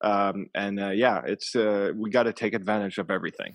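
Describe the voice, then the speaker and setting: A man's voice: lowish voice, steady tone, a little fast, no room sound. A man hosting a podcast in casual chat, eager and encouraging toward his guest. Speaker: podcast host.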